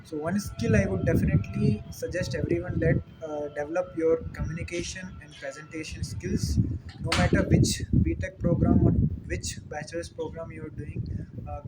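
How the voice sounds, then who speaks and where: A young man from India, a native Hindi speaker with an Indian accent, explaining things informally in an earnest, slightly halting way. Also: a little high, fairly even intonation, heavy background noise. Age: young adult. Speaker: dairy technologist answering questions. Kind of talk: informal question-and-answer explanation.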